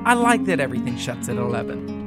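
A man's voice: medium pitch, sing-song, quick pace, close to an everyday voice. A man playing an earnest, lovelorn young intern in a comic radio play, speaking melodramatically, with music under him. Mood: heartfelt.

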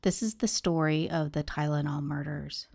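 A woman's voice: deep voice, steady tone, moderate pace, gravelly, darkish tone, no room sound, clean studio sound. A woman hosting a true crime podcast, talking to listeners and narrating. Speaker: podcast host.

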